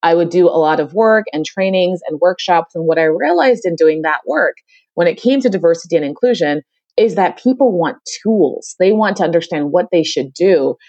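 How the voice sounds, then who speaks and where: A woman's voice: on the low side, lively intonation, moderate pace, a little mellow, no echo, dull audio. A middle-aged woman from the Caribbean, talking energetically as a podcast interview guest and often repeating her phrases. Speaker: guest, diversity consultant.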